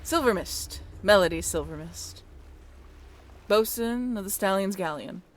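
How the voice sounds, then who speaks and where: A woman's voice: mid-range voice, expressive, a little slow, pitched below the performer's own voice, natural voice. A woman voicing a brash, commanding pirate deck boss who barks at the crew in an audio drama. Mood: proud, challenging. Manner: swaggering, barking orders to the crew. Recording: clear background noise.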